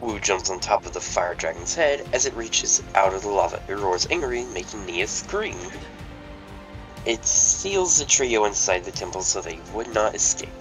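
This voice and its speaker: A man's voice: fairly low pitch, sing-song, moderate pace, music in the background, rough recording. A man narrating stage directions in an amateur table read, plain and matter-of-fact.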